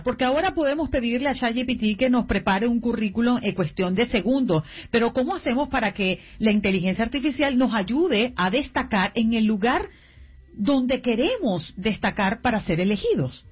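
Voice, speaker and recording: A woman's voice: on the high side, animated, normal speed, husky, lo-fi sound. A woman hosting an interview, asking questions in an inquisitive way. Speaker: interviewer, host.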